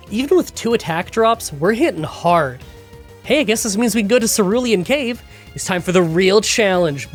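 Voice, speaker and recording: A gaming YouTuber with a nasal, congested voice from a cold, chatting through a challenge recap and rambling story into a close voiceover mic. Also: mid-range voice.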